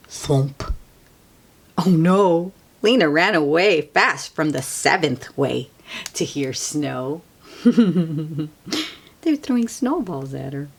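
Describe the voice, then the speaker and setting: Female storybook reader, husky and unhurried, expressive delivery that drops into hushed asides for young listeners. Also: deep voice. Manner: slow, expressive, hushed at times, explains words simply. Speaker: children's book read-aloud host. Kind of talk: storybook read-aloud with asides to children.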